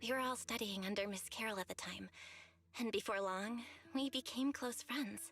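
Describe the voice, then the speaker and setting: A woman's voice: on the high side, normal speed, fairly bright tone. An actress playing an earnest young woman haunted by her past in a video game, speaking plainly and sincerely. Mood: wistful, recounting. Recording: game music under the voice.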